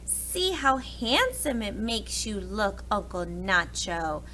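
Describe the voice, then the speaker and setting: A woman's voice: mid-range voice, big pitch swings, slow, pushed and bright, slight background noise, heavily acted, poor audio. A woman voicing a cheerful, caring schoolgirl niece in a storybook, with a chirpy, exclamatory delivery. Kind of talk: storybook character voice.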